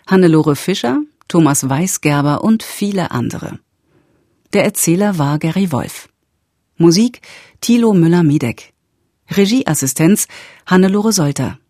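A woman's voice: lowish voice, warm tone, no room sound, studio quality. A woman announcer reading scripted radio credits in a formal, orderly manner. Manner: formal, evenly paced list reading.

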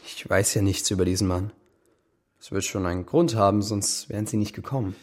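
Man acting a director's young son, low pitch, cool, curt, guarded, radio drama. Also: fairly quick, darkish tone, close to an everyday voice.

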